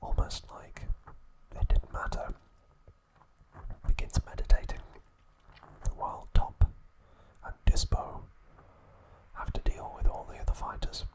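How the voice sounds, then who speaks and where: A man whispers softly and breathily, as an ASMR host would. He takes his recap very slowly, with gentle, halting phrasing that stays hushed throughout. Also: poor audio.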